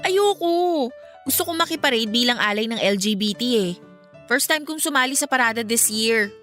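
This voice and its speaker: A woman's voice: fairly high pitch, lively intonation, normal speed, fairly bright tone. A woman playing a breezy, carefree friend in a Filipino radio drama. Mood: breezy, casual. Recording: music bed under the dialogue. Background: music.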